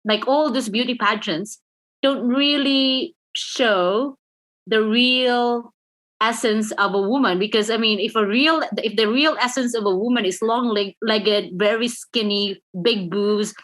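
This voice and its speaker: Bright-voiced, outspoken Filipino woman. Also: fairly high pitch, a little slow. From Philippines. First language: Filipino language. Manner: outspoken, opinionated, laughs at own jokes. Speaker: guest, author. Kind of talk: casual interview chat.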